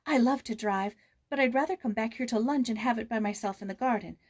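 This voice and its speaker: A woman voicing a plaintive, eager young American girl from a storybook, expressive and emphatic as she speaks. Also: fairly high pitch, a little fast, pitched above the performer's own voice, lightly acted.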